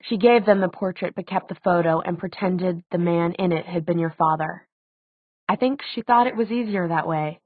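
A woman voicing a gentle, weary man in an audiobook, speaking in a quiet, measured, apologetic way. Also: fairly low pitch, no echo, phone-quality sound, lightly acted. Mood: explaining. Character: gentle, weary adult man, guardian figure.

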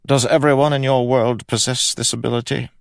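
A man's voice: medium pitch, fairly even intonation, a little fast, creaky, no room sound, clean studio sound, pitched above the performer's own voice, close to an everyday voice. A man voicing a pompous, sly, greedy king of England in an audiobook, with an imperious, droll delivery. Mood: probing, covetous. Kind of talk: audiobook character acting.

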